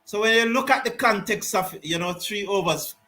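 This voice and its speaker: A man giving live ball-by-ball cricket commentary and reading out viewer comments, excitable on big hits. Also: sits high, normal speed. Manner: lively, rises excitedly on big shots, Caribbean English phrasing. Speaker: cricket watch-along commentator.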